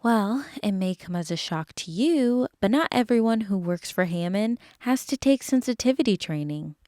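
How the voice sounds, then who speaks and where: A woman's voice: mid-range voice, animated, normal speed, darkish tone, dry recording, studio quality, lightly acted. A woman playing a brash, sarcastic dinosaur park animal handler in an audio drama, talking in a wry, colloquial way.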